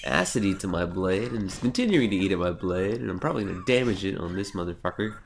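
A man's voice: sits low, fast talker, vocal fry, slight background noise. A young man with a cold, nasal and sniffly, giving casual, sarcastic let's play commentary and swearing now and then. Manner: sarcastic, self-mocking, swears.

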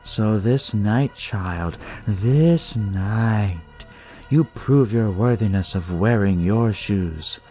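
Man putting on a slow, ceremonious young cult leader. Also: on the low side, mellow, faint music behind. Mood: ominous, grandiose. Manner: slow, drawn-out, ritual pronouncements. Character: smug, ceremonious young male devil-cult leader, looks drugged.

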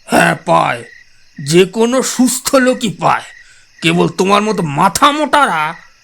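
Man playing a high, creaky-voiced, irascible headmaster. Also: sing-song, measured pace, a bit bright, exaggerated voice. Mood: scornful, wary. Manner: blustering, scolding, sarcastic. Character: irascible skeptical headmaster, scornful of ghost believers. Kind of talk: audio drama acting.

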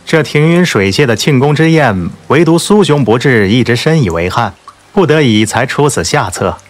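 A man playing an urbane, smug young gentleman in an audiobook drama, suave and teasing. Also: mid-range voice, lively intonation, moderate pace, natural voice.

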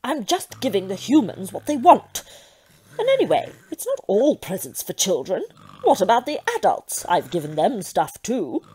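Satan, husky, swooping and imperious. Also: female voice, medium pitch, very animated, moderate pace, darkish tone. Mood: defensive, dismissive. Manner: imperious, theatrical. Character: Satan, vain imperious female devil, gleefully menacing. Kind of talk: audio comedy drama acting.

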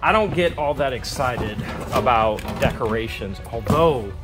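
A man's voice: medium pitch, animated, a little slow, over music. An American man in his forties chatting casually in short, joking asides as he hosts a vlog. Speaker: vlog host, storage unit buyer. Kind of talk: casual chat while sorting through finds. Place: inside a metal storage unit. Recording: noisy handheld recording in storage unit.

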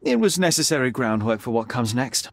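An actor playing a confident, sharp young detective who speaks formally and articulately, needling and unflappable in a dramatic video game style. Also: male voice, medium pitch, big pitch swings, a little fast, creaky, dry recording. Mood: assured, accusing.

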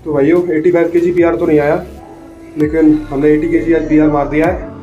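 A man's voice: a little high, little pitch movement, a little fast, muffled recording, music in the background. A young man talking casually to viewers as a fitness vlogger, mixing in English gym terms. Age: young adult. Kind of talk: casual vlog talk to viewers. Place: small changing room, indoors. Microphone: phone held at chest height.

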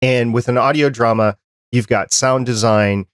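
A middle-aged man interviewing conversationally as a podcast host, explaining things in a measured way into a close desk microphone. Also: mid-range voice, steady tone, no echo. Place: small home studio room, indoors. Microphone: close desk microphone with headphones.

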